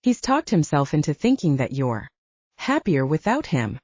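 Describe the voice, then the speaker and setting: A female narrator puts on a gruff young werewolf butcher who talks plainly and bluntly, with lots of rise and fall in the line. The sound is dry and a little muffled, like a lo-fi audiobook. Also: deep voice, sing-song, normal speed, darkish tone, no echo.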